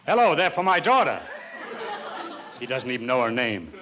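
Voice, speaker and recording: A man's voice: medium pitch, big pitch swings, normal speed, crowd noise, close to an everyday voice. A man playing an absent-minded, easily exasperated husband in a theatrical 1940s radio comedy style. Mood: flustered. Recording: muffled, noisy old radio recording.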